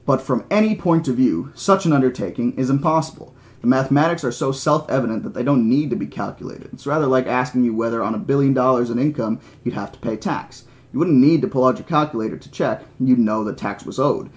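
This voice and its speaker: A man voicing a condescending male mathematician in an audiobook reading, lecturing with flat, didactic certainty. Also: mid-range voice, fast, few pauses, voice pushed down, lightly acted. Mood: flatly insistent. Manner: didactic, flatly insistent.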